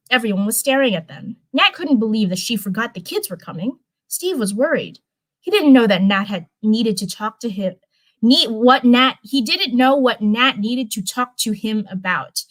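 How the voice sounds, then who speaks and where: A woman's voice: fairly high pitch, very animated, moderate pace, a bit bright, no room sound, rough recording. A woman reading fan fiction aloud in a casual livestream style, with chatty, rambling asides. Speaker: live streamer reading fanfiction.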